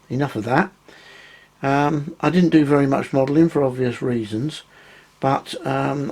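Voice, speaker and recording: A middle-aged man talks through his model railway scenery in a relaxed, rambling way, stopping often to hunt for words. His voice is croaky from a recent cold, with a gravelly, husky edge. He sits at an even, middling pitch. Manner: rambling, hesitant, many ums. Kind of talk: casual hobby tutorial.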